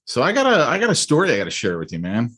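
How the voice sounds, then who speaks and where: A middle-aged man co-hosting a podcast, telling a story in a rambling, animated way with many restarts and asides. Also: medium pitch, very fast. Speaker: podcast co-host. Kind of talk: casual storytelling chat.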